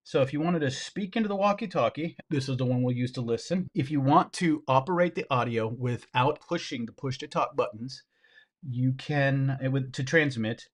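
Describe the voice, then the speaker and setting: A man with a mid-pitched voice presents a hands-on product review. He talks informally and directly to viewers while demonstrating the gear, in the relaxed phrasing of a casual vlog. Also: normal speed.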